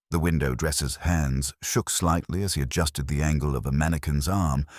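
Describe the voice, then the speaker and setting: A man reading scripted mystery narration in a measured, formal storytelling manner. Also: extremely low pitch, no echo, clean studio sound.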